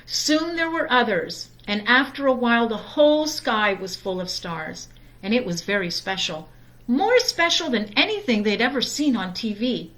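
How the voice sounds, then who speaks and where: A woman reading a children's story aloud in a deliberate, expressive storytime manner. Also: on the high side, rough recording. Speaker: storytime reader.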